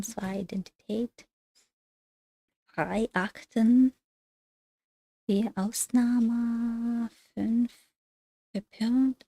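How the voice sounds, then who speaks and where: A woman with a slightly high voice works through language exercises, slipping between reading aloud and casual asides. She mutters and trails off while she checks answers, full of little fillers. Her voice comes through dry and close, with no room around it. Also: mellow, no echo, rough recording. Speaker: language learner studying on a livestream.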